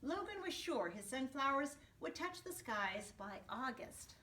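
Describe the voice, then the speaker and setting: A woman reads a children's story in a bright, high voice, explaining things to her young listeners as she goes. She is expressive and teacherly. The room echoes and the microphone sits some way off. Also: unhurried, far from the mic, slight background noise. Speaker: first grade teacher reading to her class. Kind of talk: storybook read-aloud for young children with asides.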